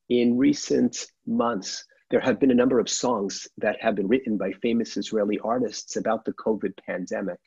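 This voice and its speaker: A middle-aged man, a rabbi, giving a measured, earnest sermon from a sunlit room at home over a laptop webcam. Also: lowish voice, gravelly, darkish tone, breathy edge, no echo. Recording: dull, dry webcam audio.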